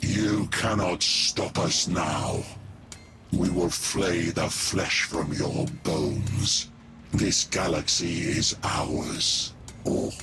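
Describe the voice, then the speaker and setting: Slow, contemptuous alien machine overlord. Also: male voice, sits low, vocal fry, heavy background noise, exaggerated voice. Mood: menacing. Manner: slow, haughty, menacing, formal. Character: cold, contemptuous ancient alien robot overlord. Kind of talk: video game character acting.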